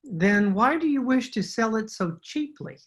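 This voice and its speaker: A husky, swooping voice for a nervous swindler in an old-time radio mystery acted by a woman. He is a man of fussy formal politeness who hesitates, stammers and dodges. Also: a little high, animated, a little slow. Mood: cautious, polite. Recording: home recording over video call.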